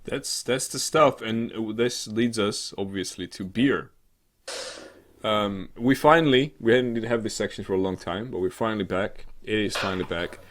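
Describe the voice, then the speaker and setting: A man co-hosting a casual gaming talk show, rambling and halting, with an irreverent, sarcastic, sweary way of talking. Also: lowish voice. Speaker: co-host of a gaming news show. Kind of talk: casual chat about games news.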